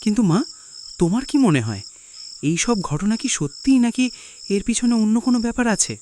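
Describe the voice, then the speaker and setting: A man's voice: high-pitched, sing-song, brisk, mellow. A man playing a troubled young son, questioning and doubtful, in a horror cartoon. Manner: anxious, questioning. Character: troubled young son, sceptical but growing afraid. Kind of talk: Bengali horror cartoon dialogue. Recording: faint noise with chirping crickets.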